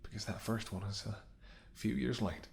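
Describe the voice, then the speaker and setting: A man's voice: on the low side, steady tone, normal speed, creaky, a little hiss or hum. A man acting in a romantic audio roleplay as a devoted, stubborn ex-bodyguard, speaking earnestly and intimately with emotional intensity. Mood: tender, teasing. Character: devoted gruff ex-bodyguard, fiercely loving, stubborn and protective.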